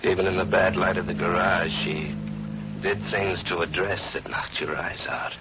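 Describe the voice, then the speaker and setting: A man acting as a down-on-his-luck hearse driver in a 1940s radio thriller. His voice is very low, hard-boiled and slangy. It is a muffled old broadcast with music behind. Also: steady tone, normal speed, close to an everyday voice. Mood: weary, brooding.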